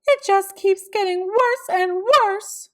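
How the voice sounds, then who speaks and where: Woman playing a high-pitched, anxious mother. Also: takes it slowly. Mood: panicked, despairing. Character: anxious, distressed mother of a sick girl.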